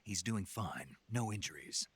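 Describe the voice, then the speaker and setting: An actor playing a stoic, terse American special forces soldier in a video game. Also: male voice, low-pitched, lively intonation, measured pace, slightly rough, full character voice. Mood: calm, terse. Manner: terse, controlled. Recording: music behind the voice.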